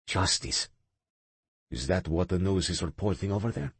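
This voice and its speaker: A smooth, menacing older uncle, as a male narrator puts him on,. His voice is low and deeply raspy, coaxing with a cold edge. Dry, close sound. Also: moderate pace, no room sound.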